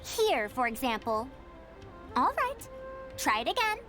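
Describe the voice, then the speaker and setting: A woman's voice: high-pitched, big pitch swings, unhurried, over loud music. A woman playing a proud, theatrical scholar and inventor in an anime-style game, lecturing children with patient self-importance. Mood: instructive.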